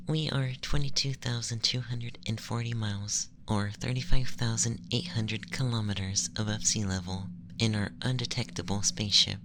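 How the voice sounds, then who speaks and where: An ASMR performer voicing a calm, condescending young male alien abductor in a breathy, often whispered, gentle and formal monologue. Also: medium pitch, moderate pace, hardly any pauses, noisy background, lightly acted. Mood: mildly condescending. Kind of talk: ASMR roleplay, scripted monologue.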